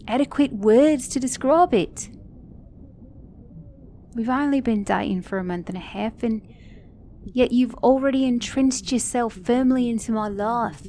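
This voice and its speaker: A woman's voice: a little high, a little mellow, slight background noise, close to an everyday voice. A woman with an Australian or British accent playing a sassy, caring mechanic girlfriend in an ASMR roleplay, speaking emphatically and affectionately to a partner. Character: sassy, caring female mechanic girlfriend, scolding then tender. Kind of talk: ASMR roleplay audio drama.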